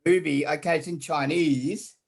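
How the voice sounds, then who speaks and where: Male guest from Australia, slightly high pitch, rambling chatty delivery with frequent fillers. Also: steady tone, normal speed, creaky, husky. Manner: rambling, repeats words, many fillers. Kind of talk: casual online interview.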